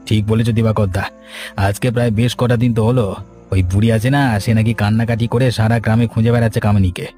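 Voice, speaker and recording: A man's voice: fairly low pitch, fairly even intonation, brisk, dark tone, faint music behind, lightly acted. An actor playing a nervous, guilty village henchman in a cartoon, hesitant and evasive. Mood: conspiring. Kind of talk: cartoon drama acting.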